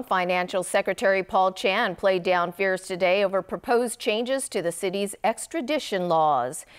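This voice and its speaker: A woman's voice: medium pitch, clean tone. A woman presenting scripted news as an anchor.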